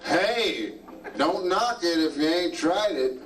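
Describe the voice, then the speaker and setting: Man as a slow, drawling backwoods elf with a high voice, taken live from a distance over crowd and music. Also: expressive, background noise. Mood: folksy. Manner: folksy, drawling, chuckling. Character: crude backwoods male elf, greasing reindeer. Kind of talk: live amateur stage comedy. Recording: live club recording from far off, loud music and crowd.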